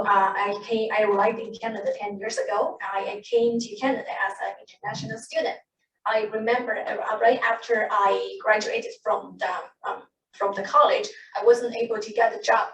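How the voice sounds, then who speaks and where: A woman in her thirties, an English speaker, telling the story of how she became a medical interpreter at a panel, with many restarts and self-corrections. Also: mid-range voice. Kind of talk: personal account at a panel. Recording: echoey room, distant mic, faint noise.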